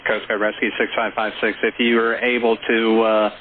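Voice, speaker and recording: A man's voice: on the low side, flat delivery, moderate pace. A man working as an air traffic controller, giving instructions over a radio. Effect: radio transmission. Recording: muffled band-limited radio recording with chatter behind.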